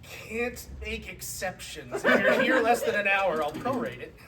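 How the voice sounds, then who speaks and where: A man's voice: high voice, expressive, measured pace, heavy background noise. A man playing brash football tailgate regulars and hucksters in a live comedy table read. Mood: smug. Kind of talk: live comedy script reading, several bit parts. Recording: distant mic, loud audience.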